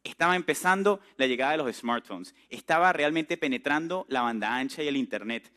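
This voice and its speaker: A man's voice: mid-range voice, lively intonation, fairly quick, pushed and bright. A man, giving an entrepreneurship talk to a live audience in a chatty, storytelling way and putting questions to the listeners. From Venezuela. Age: 30s. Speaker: entrepreneur speaker.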